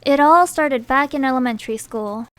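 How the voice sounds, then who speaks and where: A high-voiced young woman narrates a personal storytime in a chatty, self-mocking tone. Thai is her first language, though she grew up in the United States. The sound is close and dry with no room around it. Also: normal speed, a bit bright, dry recording. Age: young adult. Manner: chatty, self-deprecating, wry asides. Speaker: animator telling her own story.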